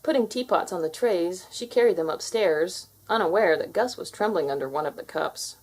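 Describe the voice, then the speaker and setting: A woman's voice: lowish voice, expressive, normal speed, a little mellow, husky. A woman narrating a children's fairy tale as a scripted read-aloud.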